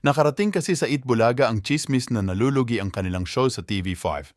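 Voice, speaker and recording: A man's voice: mid-range voice, lively intonation, quick pace. A man reading scripted entertainment news as a voice-over reporter.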